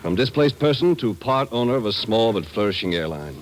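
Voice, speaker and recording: Very low voice, earnest airline partner, hopeful and hesitant, 1950s radio drama, faint recording noise. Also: male voice, very animated, fairly quick, rough recording. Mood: heartfelt, hopeful. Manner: earnest, heartfelt, hesitant at times. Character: earnest Israeli airline part-owner, male, hopeful former refugee.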